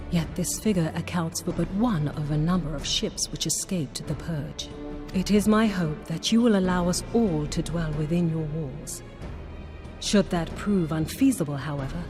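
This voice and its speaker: A woman voicing a dignified, humble exiled noblewoman pleading for her people in measured, formal speech. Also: on the low side, lightly acted.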